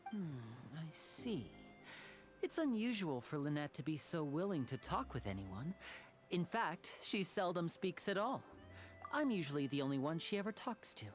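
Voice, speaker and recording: Charming young male game character: smooth, courteous, theatrical, expressive swings in pitch, faint music. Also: normal speed. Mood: musing. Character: polished, charming young male stage magician, protective brother. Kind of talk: anime game character dialogue.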